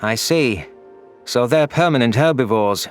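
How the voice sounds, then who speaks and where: A man's voice: medium pitch, animated, unhurried, clear, resonant tone, soft background music. A man narrating a scripted science fiction story in the first person as an anguished, guilt-ridden alien. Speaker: narrator of a sci-fi audio story.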